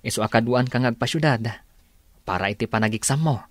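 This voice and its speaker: An actor playing a supportive, cheerful young husband in a Filipino radio drama, speaking warmly and encouragingly. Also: male voice, mid-range voice, a little fast, no room sound, natural voice. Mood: reassuring. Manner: warm, reassuring, encouraging. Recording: dry studio, music underneath.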